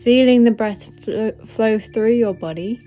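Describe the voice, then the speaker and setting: Female meditation host: relaxed, dark-soft timbre, very unhurried, soothing guidance over faint music. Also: fairly high pitch. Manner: gentle, soothing, unhurried instruction. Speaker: meditation guide, podcast host. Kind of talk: guided meditation.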